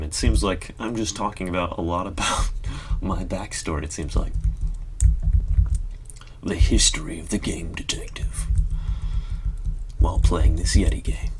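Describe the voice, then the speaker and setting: A man's voice: low-pitched, background noise. A man chatting casually and rambling in a self-deprecating way while playing a computer game on a live stream.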